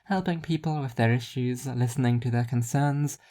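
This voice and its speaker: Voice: medium pitch, normal speed, mellow, close to an everyday voice. A performer voicing a wry, self-deprecating woman with a dry, smiling irony. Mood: dry, resigned.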